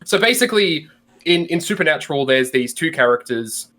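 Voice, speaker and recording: A man's voice: a little high, big pitch swings, fairly quick, bright, forceful tone. A man co-hosting a gaming podcast over a video call, just over a lost voice and a sinus infection, talking with many ums, restarts and casual swearing. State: recovering from sinus infection after losing voice. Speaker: podcast co-host. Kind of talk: casual chat.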